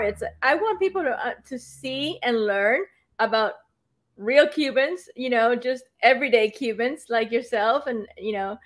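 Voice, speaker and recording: A woman hosting an online interview, hesitant and full of fillers and restarts. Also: fairly high pitch. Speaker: host.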